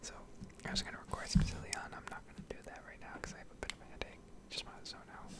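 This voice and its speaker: A woman speaking in a soft, breathy whisper, rambling in an easygoing, unhurried way over gameplay for ASMR. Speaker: gaming streamer, ASMR video host.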